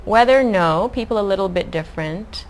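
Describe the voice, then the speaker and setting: A young adult woman from the Philippines, a guest answering interview questions in a calm, measured way. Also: medium pitch, poor audio. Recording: faint background noise.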